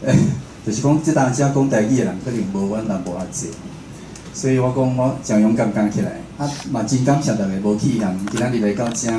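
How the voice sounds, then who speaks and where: A man with a southern Taiwanese accent, a radio presenter giving an informal talk as guest speaker. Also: mid-range voice. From Chiayi, southern Taiwan. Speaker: guest speaker, radio station head and programme host. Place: indoor room before a live audience. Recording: echoey room with clear background noise.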